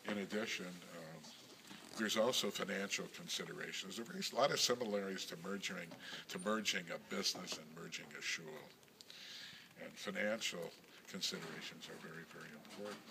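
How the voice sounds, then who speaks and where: Older man, synagogue president type; deep gravelly voice, measured and halting, faint hiss in the background. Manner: measured, halting, with many ums and self-corrections. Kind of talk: recorded interview reminiscence.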